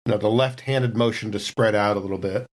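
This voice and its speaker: A man's voice: lowish voice, steady tone, a little fast, slight background noise. Man narrating his game character. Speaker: player in a tabletop role-playing game.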